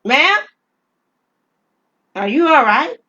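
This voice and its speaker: A woman voicing a forceful, concerned police officer in an audio drama. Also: high-pitched, sing-song, extremely slow, dull audio, pitched above the performer's own voice, exaggerated voice. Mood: loud concern.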